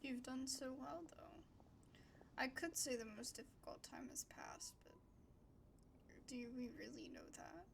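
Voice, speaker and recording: A woman's voice: high-pitched, animated, a little slow, pauses often, whispered. A woman gaming streamer giving casual, informal reaction commentary over a game in conversational asides. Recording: clear music behind the voice.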